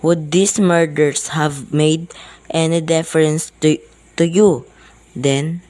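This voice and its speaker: A weary police sergeant acted by a student in an amateur radio drama, speaking slowly at a middle pitch. The delivery is placating, patronizing and dismissive. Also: steady tone, a little mellow, lightly acted. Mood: skeptical, patronizing. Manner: deliberate, patronizing.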